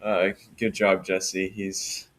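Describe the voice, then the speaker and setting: Deep monotone man on a podcast. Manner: unhurried, many fillers and restarts. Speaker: podcast co-host. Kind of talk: casual podcast chat.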